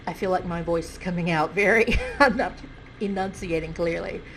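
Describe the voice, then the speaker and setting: A woman's voice: lowish voice, normal speed, a bit bright, a little hiss or hum, low-quality recording. A woman with a stuffy nose giving casual, self-correcting commentary on a video game, thinking aloud as she goes.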